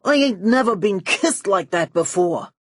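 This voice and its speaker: A woman's voice: mid-range voice, animated, unhurried, slightly rough, no room sound, clean studio sound. A woman voicing a middle-aged male gangster boss in an audiobook, lovesick and pushy, emphatic and pleading. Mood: infatuated, pleading. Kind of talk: audiobook character voice.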